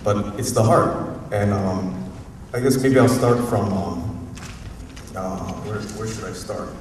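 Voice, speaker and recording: A university professor from Hawaii with a Hawaiian accent, giving a rambling, informal, unscripted talk at a community forum and often chuckling. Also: male voice, on the low side, slow pace, a little mellow. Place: museum auditorium. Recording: echoey hall, distant mic, faint noise.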